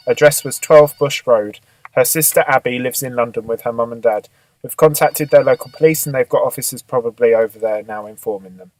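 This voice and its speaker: A man playing a businesslike police boss in an amateur radio drama, measured, matter-of-fact and authoritative. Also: medium pitch, no room sound, lightly acted, poor audio. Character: businesslike male police boss briefing his detectives.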